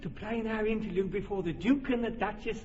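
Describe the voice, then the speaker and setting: An actor playing a fussy carpenter who directs amateur players, in an old radio drama. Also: male voice, high voice, quick pace, darkish tone, natural voice. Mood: officious. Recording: dull lo-fi radio recording with reverb and faint noise.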